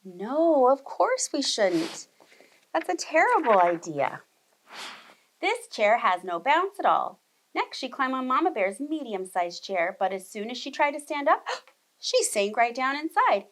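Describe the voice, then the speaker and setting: Woman, high-pitched, lively swooping intonation, preschool teacher, expressive storybook narration for little children. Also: measured pace. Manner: expressive, addresses young children with questions and explanations. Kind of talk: animated storybook read-aloud.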